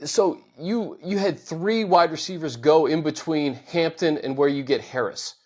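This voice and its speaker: A man hosting a casual sports podcast chat, talking in a quick, conversational way and building on his co-host's points. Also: a little high, darkish tone. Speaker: podcast host.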